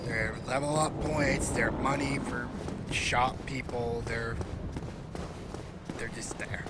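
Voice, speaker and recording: A man's voice: mid-range voice, fairly even intonation, slow, heavy background noise. A man giving casual, self-deprecating commentary as he plays a video game, often muttering wryly to himself. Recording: loud game soundtrack behind voice. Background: video game music and sounds.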